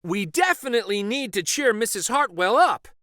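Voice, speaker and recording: Earnest young storybook schoolkid, played by a male narrator: bright, excitable, swooping melody. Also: high-pitched, moderate pace, no room sound, studio quality, pitched above the performer's own voice, heavily acted. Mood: eager.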